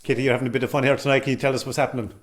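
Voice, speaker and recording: Irish male interviewer, medium pitch. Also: flat delivery. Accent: Irish, County Cork. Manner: halting, wordy questions. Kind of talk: informal interview.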